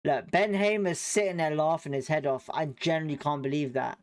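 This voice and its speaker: A man's voice: on the high side, fairly even intonation, fairly quick. A man with a British accent giving informal live football commentary as a fan host, blunt, slangy and sweary in his reactions.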